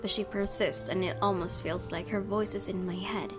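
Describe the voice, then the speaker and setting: A woman's voice: medium pitch, fairly even intonation, moderate pace. A woman gaming host, reading a visual novel aloud expressively and adding her own casual commentary. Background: game music.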